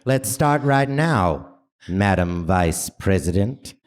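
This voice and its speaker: A man playing a swaggering American president with a Boston accent and halting, uh-filled delivery in a comedic radio play. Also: fairly low pitch, animated, voice pushed down. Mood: grateful, statesmanlike. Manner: halting, peppered with drawn-out ers and uhs. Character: heroic swaggering American president, folksy and hesitant, JFK parody. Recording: noisy live theater stage recording.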